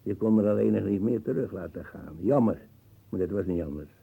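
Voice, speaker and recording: An actor playing a brutal, boastful old colonial veteran in a radio drama, rambling coarsely and searching for words. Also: male voice, lowish voice, animated, brisk, vocal fry, slightly rough, narrow, tinny audio, heavily acted. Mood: smug reminiscing. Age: older. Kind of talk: radio drama acting, monologue reminiscence.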